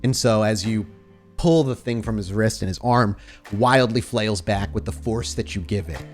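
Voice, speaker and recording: A man's voice: on the low side, normal speed, soft background music. A man running a tabletop horror game as storyteller, narrating in a descriptive, cinematic way.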